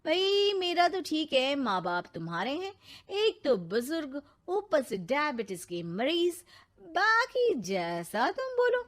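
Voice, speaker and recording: A woman's voice: high-pitched, wildly swooping pitch, slow pace, a bit bright, exaggerated voice. A woman voicing a bold, blunt, confident tomboy young bride in a family story. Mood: argumentative. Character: brash confident tomboy young bride who loves bikes. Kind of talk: Hindi family story dramatization.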